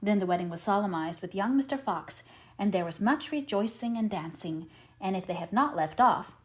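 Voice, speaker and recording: Female storyteller for fairy tale narration, highly expressive pitch, mid register. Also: normal speed. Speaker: storyteller, audiobook reader.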